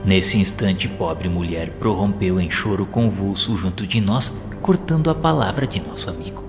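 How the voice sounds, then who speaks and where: Male audio drama narrator: formal, solemn storytelling, fairly low voice in hall-like reverb. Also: animated, normal speed. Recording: long hall reverb, faint music. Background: faint music.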